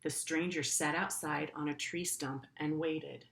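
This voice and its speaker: A woman's voice: deep voice, steady tone, a little slow, vocal fry, a bit bright, a little hiss or hum. A middle-aged woman teacher reading a story aloud to pupils in an earnest way, with simple, informal explanatory asides.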